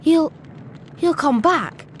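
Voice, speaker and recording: A woman's voice: high-pitched, lively intonation, takes it slowly, slight background noise. A woman playing an earnest, determined young schoolboy wizard hero in a video game cutscene. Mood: grave, determined.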